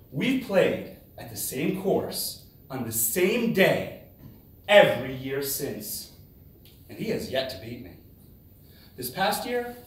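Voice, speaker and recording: A man giving a rehearsed, humorous storytelling speech in a theatrical manner, with dramatic pauses and exclamations. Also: on the high side. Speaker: contest speaker. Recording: very echoey room, distant microphone.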